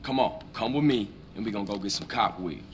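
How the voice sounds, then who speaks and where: A man's voice: lowish voice, fast talker, lightly acted. Man playing a blunt, streetwise crew boss. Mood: commanding. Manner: blunt, commanding, casual slang. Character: bold, streetwise young male gangster leading a heist. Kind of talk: video game cutscene acting. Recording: faint background noise.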